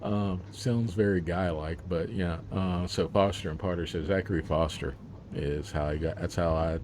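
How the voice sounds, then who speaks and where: A man's voice: low-pitched, fairly even intonation, moderate pace, darkish tone, a little hiss or hum. A man, an author, talking about his book in a casual interview, rambling with plenty of fillers and laughs.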